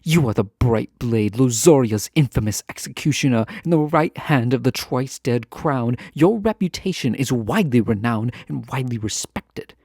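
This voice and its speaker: A man playing a lovesick, proud fantasy ruler in a romantic audio drama, speaking formally, earnestly and with hesitant pauses. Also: medium pitch, lively intonation, darkish tone, breathy edge, no room sound, studio quality. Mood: earnest, tender. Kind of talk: scripted romantic audio roleplay, close and intimate.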